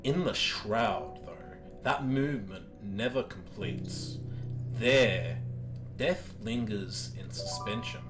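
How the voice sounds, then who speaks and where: A man running a tabletop game as game master, narrating in a slow, solemn storyteller's manner with deliberate pauses. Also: fairly low pitch, animated. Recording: loud guitar music behind the voice.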